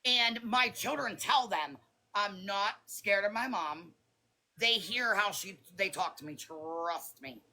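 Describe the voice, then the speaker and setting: Raspy-voiced woman, bright and blunt. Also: medium pitch, slow pace. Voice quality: raspy smoker's voice. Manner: defensive, blunt. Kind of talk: heated live chat.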